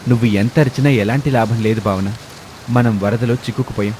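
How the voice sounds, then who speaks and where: A man's voice: lowish voice, fairly even intonation, fairly quick, darkish tone, natural voice. Man playing blunt young husband, noisy rain behind. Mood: grim, firm. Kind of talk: Telugu moral story dialogue. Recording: clear rain and engine noise behind.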